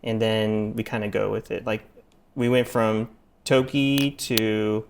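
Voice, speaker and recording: A middle-aged man who grew up in Taiwan, a chef talking in a relaxed, deadpan way with many fillers during a casual interview. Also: lowish voice, takes it slowly, gravelly. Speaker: chef and restaurateur guest.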